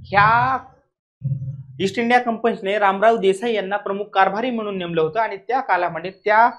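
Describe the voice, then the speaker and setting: A man's voice: high voice, brisk, a bit bright. A man with a Maharashtrian accent explaining exam questions like a classroom coach, repeating key points emphatically and often checking that students follow. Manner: repetitive, emphatic, frequently checking understanding with questions.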